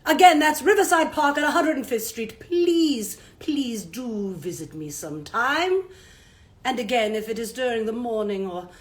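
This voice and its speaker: A woman voicing a groggy male owl puppet with a croaky voice and drowsy, old-fashioned politeness. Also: medium pitch, pitched below the performer's own voice, natural voice.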